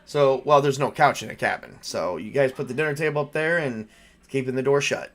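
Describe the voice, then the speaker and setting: A man casually narrating a tabletop roleplaying game, improvising as he goes in a loose, halting way. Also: medium pitch, a bit bright. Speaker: game master and player.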